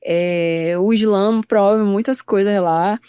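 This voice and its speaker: Woman, mellow and smooth. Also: medium pitch, takes it slowly, no room sound, clean studio sound. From Itacaré, Bahia, Brazil. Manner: earnest, assertive, fluent. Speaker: guest artist. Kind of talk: podcast conversation.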